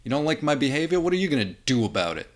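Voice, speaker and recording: A man's voice: a little high, very animated, rapid-fire, voice pushed up. A man voicing a big, belligerent tough guy who sneers, taunts and swears, in an audio drama reading. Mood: taunting.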